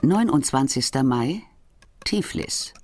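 Terse scene announcer guy, varied pitch, music behind, radio play. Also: animated, takes it slowly. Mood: neutral. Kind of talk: scripted scene headings in a radio drama.

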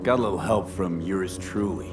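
A man's voice: deep voice, moderate pace, over loud music, natural voice. An actor playing a cool, wry male mercenary in a video game, speaking in terse, dry phrases. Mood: easygoing. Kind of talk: video game cutscene acting.

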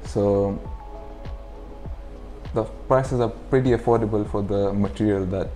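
Young man, mid-twenties, from the UAE. Low, mellow voice, casual explanatory interview delivery, light music behind. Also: fairly even intonation, a little slow. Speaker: interview guest, clothing brand founder. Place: furnished indoor lounge. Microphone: lapel.

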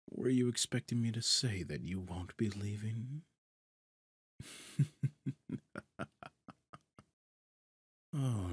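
Man acting a deep, gravelly, breathy demon master. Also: no echo, clean studio sound, full character voice. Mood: threatening, amused. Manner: slow, condescending, silky taunting. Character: possessive, smug male demon master of souls. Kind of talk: ASMR roleplay acting.

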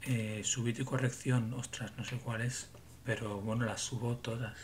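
A man in his forties talks loosely to his stream viewers from a small home room, his voice pitched in an ordinary middle range. He rambles and mutters to himself between thoughts. A faint hiss sits under the webcam sound. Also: level tone, normal speed. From Barcelona. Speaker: livestream host. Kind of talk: casual livestream chat with viewers.

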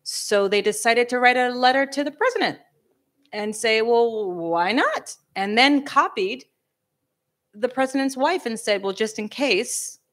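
A woman's voice: a little high, lively intonation, unhurried, fairly bright tone. A woman moderating a panel in a conversational way, correcting herself as she goes, into a handheld mic on a small stage. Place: small stage before a live audience.

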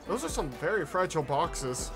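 A man's voice: a little high, big pitch swings, normal speed. A man doing casual streamer commentary as he reacts to a video, close on the mic. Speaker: streamer, VTuber host. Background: trailer music and sounds.

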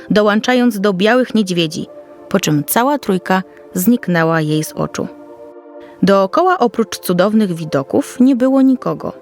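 A woman reading a scripted children's story as narrator, in a careful storytelling manner. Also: medium pitch. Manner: storytelling, descriptive, carefully read. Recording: soft music under the voice.

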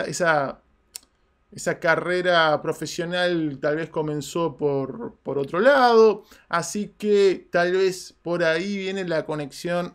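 A man hosting film news in a small studio, talking animatedly in casual commentary. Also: high-pitched. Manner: animated, gestures a lot while talking. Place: small studio with red curtain backdrop.

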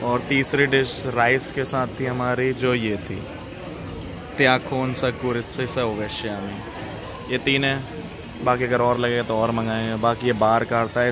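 Chatty young man vlogging over loud music. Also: medium pitch. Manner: chatty, relaxed, talks to camera. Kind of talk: casual food vlog chat. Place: busy restaurant indoors, street outside earlier.

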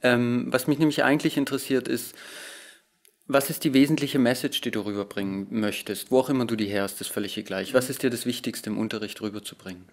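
Man, 30s, interviewer, polite, measured, indoor, handheld recorder. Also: mid-range voice. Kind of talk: interview questions. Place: hotel lounge at a conference, indoors. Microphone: handheld recorder in his own hand.

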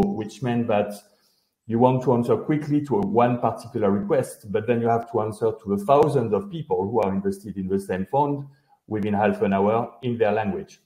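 A middle-aged Frenchman explains his career over a video call, speaking through a headset with a French accent. His voice sits a little low and sounds mellow and dark. The room audio is noticeably echoey, and he stops and restarts often. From France. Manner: explanatory, many hesitations and restarts. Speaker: guest, company founder. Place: indoor home office.

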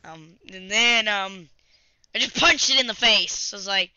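Young gaming host, crisp forceful voice, dry sound, halting casual storytelling. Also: medium pitch, dry recording. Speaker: video host and player. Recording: dull lo-fi webcam-grade audio.

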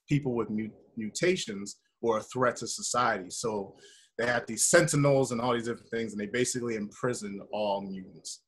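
Casting: American man, thirties, average-pitched, loose slangy storyteller, animated and rambling, casual video-call interview. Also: husky. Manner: animated, slangy, rambling with frequent "you know" and "like". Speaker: actor being interviewed. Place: indoor room, video call.

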